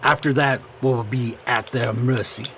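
A man's voice: medium pitch, steady tone, measured pace, very noisy, natural voice. A man playing a genteel, brave old stage magician in a radio play, with a formal, avuncular delivery. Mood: resolute.

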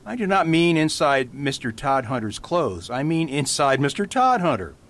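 An actor playing a calm, playful priest detective in an amateur radio drama, patient and mild. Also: male voice, fairly high pitch, moderate pace. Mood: whimsical. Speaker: Father Brown.

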